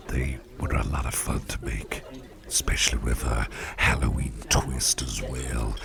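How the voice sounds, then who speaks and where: A man playing a suave, doting devilish businessman boss in a soft-spoken ASMR roleplay, murmuring with courtly, unhurried gratitude and slipping into gravelly whispers. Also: very deep voice, heavily acted. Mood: pleased, gracious. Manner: courtly, unhurried, repeats phrases appreciatively. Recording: party crowd murmur behind voice. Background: crowd chatter.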